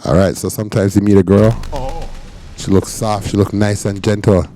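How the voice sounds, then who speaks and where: A man hosting a reaction show, casually introducing clips in a relaxed, chatty way. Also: lowish voice, moderate pace, a little hiss or hum. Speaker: host. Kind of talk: reaction commentary.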